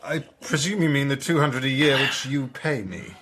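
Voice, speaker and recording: An actor playing a young English gentleman swindler, haughty and sardonically polite, in a radio drama. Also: medium pitch, normal speed, breathy edge. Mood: guarded, cool. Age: young adult. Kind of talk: British radio drama acting.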